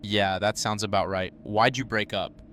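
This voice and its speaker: A man's voice: lowish voice, steady tone, normal speed, fairly bright tone, slight background noise, natural voice. A man playing a mocking henchman at a game table, blunt and sarcastic, in an audio drama. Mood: needling.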